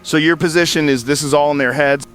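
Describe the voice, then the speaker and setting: A man's voice: fairly high pitch, steady tone, fast, a bit bright, close to an everyday voice. A man playing a curious, skeptical host of a news interview in a radio drama, probing and a little incredulous. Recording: music playing under the voice. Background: background music.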